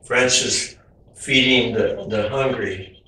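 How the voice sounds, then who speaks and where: An older man speaks gently and haltingly, pausing with "uh" and restarting his thoughts as he talks about his own artwork. He sits some way from the microphone in a very echoey room at home. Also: medium pitch, distant mic, a little hiss or hum. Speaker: artist. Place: home room with windows, indoors.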